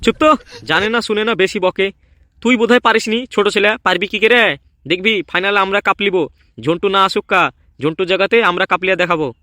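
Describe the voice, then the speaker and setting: Man voicing cocky, high-pitched village boy. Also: a little fast, bright, forceful tone, dry recording. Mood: cocky, bossy. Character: scheming, boastful village boy gang member in comedy cartoon. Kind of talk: comedy cartoon voice acting.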